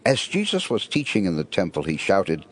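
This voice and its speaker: A man's voice: low voice, huge pitch swings, normal speed. A man narrating an audio Bible drama in a scripted storytelling style.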